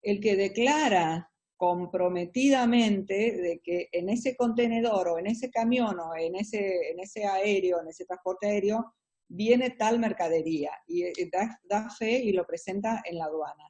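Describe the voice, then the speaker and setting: A middle-aged Argentine woman with an Argentine Spanish accent, answering interview questions about her business in an explanatory way, often pausing on fillers. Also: mid-range voice, darkish tone, breathy edge. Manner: explanatory, hesitant with many fillers. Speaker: businesswoman guest.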